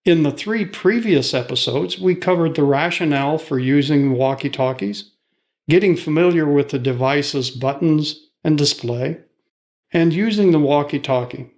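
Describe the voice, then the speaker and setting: A man's voice: a little high, moderate pace, darkish tone. A man presenting scripted instructional material as a training host.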